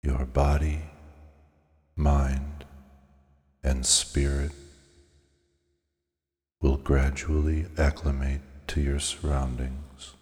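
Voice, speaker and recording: A man narrating a scripted guided meditation in a measured, soothing, hypnotic way with deliberate pauses. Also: rumbling low, level tone, darkish tone. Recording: long cavernous reverb on the voice.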